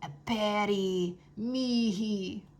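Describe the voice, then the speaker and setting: A woman voicing a villainous male wizard dragon from a storybook, bellowing and chanting drawn-out magic spells. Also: mid-range voice, a little mellow, slight background noise.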